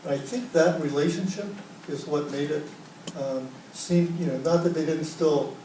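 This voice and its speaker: A man's voice: on the high side, mellow. Man leading a workshop in an echoey hall. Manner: halting, restarts often. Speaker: workshop presenter. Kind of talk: leading a group discussion. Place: conference room, echoey. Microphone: room mic from a distance. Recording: echoey room, distant mic, faint noise.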